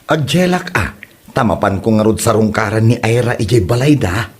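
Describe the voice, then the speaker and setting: A man acts a nervous young suitor in an Ilocano comedy drama. He has a rough-edged, husky voice that swoops in pitch, flustered and whining in an Ilocano accent. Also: lively intonation, normal speed. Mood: pleading. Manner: stammering, flustered, whiny. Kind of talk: comedy audio drama acting.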